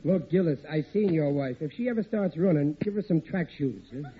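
An actor playing a bumbling, folksy American working-class husband who grumbles in ungrammatical talk on an old radio sitcom. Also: male voice, fairly high pitch, expressive, fairly quick, dull audio. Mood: wry grumbling. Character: blustery, bumbling working-class family man at an aircraft plant. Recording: thin, noisy vintage radio recording.

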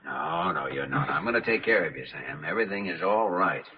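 An actor playing a kindly young American doctor, reassuring and decisive, in an old-time radio drama. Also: male voice, sits low, sing-song, a little fast, vocal fry, heavily acted. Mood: soothing. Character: calm, kindly young male hospital doctor at a rescue. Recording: noisy, muffled old radio recording with clicks.

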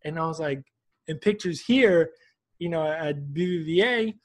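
A man's voice: on the high side, expressive, unhurried. A man from Houston hosting a casual, informal soccer interview show, chatting in friendly slang. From Houston, United States.

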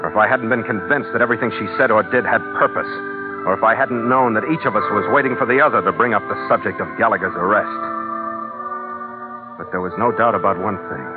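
An actor playing a hard-boiled, suspicious ship's skipper in old-time radio noir style, terse and sardonic. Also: male voice, fairly low pitch, fast talker, close to an everyday voice. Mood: brooding. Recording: tinny vintage radio recording, faint music.

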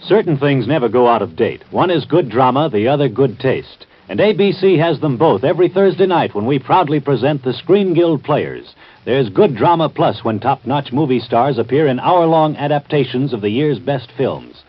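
A dull vintage radio recording of an American man announcing in formal 1940s promotional style. His voice is husky and mid-pitched, with polished, measured phrasing. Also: expressive.

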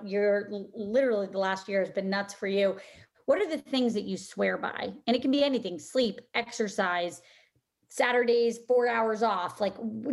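A woman's voice: medium pitch, a little mellow. A woman interviewer asking questions in an upbeat, encouraging way.

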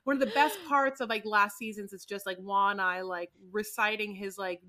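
A woman co-hosting a podcast in casual chat, animated and talkative, often calling people honey. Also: medium pitch, normal speed. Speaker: podcast co-host.

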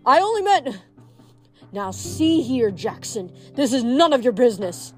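A woman's voice: fairly high pitch, big pitch swings, unhurried, darkish tone, faint music behind. A woman voicing a very old, fat male satyr who is pompous and cowardly, talking in a huffy, blustering way and stammering when scared.

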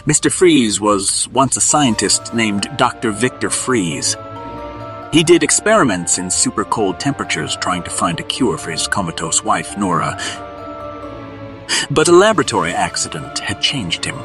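A man's voice: on the low side, big pitch swings, moderate pace, over music. A man narrating a scripted superhero story in a suspenseful, dramatic storybook style.

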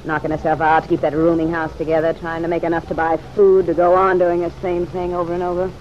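A woman's voice: lowish voice, little pitch movement, fast, lightly acted. A woman playing a defiant, working-class American woman with a curt, slangy, sardonic way of talking, in an old-time radio drama. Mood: bitter, defensive. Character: hard-boiled, sharp-tongued working-class woman, defiant. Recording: muffled vintage radio, faint noise.

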